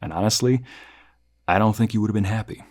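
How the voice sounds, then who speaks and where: Low-voiced man giving a reflective review. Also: a little mellow. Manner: reflective, halting, rephrasing as he goes. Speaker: host of story review channel, narrator. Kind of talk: conversational spoken review.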